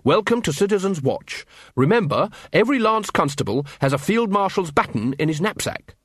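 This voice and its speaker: A man playing a keen, earnest watch corporal with a brisk, cheerful, commanding manner. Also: sits high, very animated, breathy edge, no echo, clean studio sound, voice pushed up, heavily acted. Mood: confident, commanding.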